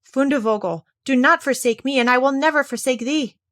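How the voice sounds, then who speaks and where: A woman's voice: sits high, brisk, a bit bright, voice pushed up. A woman voicing a devoted little girl in a fairy tale, speaking with earnest, pleading, old-fashioned solemnity.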